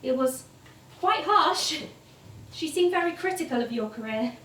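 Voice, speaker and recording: An actress playing a polite, eager documentary researcher on stage, speaking hesitantly and naturally. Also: female voice, sits high, expressive, a little slow, pushed and bright, heavily acted. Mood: careful, probing. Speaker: documentary interviewer. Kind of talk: naturalistic theatre acting. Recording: roomy stage, distant mic, background noise.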